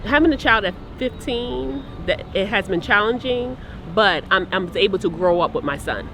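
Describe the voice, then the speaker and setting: A woman's voice: mid-range voice, lively intonation, normal speed, noisy background. A middle-aged woman answering a street interviewer, candid and chatty, laughing readily.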